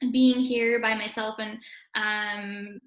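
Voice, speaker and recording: A woman's voice: medium pitch, flat delivery, slow pace, a bit bright. A woman in her early twenties from Russia, a native Russian speaker, answering interview questions casually as a university student. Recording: roomy, echoey sound.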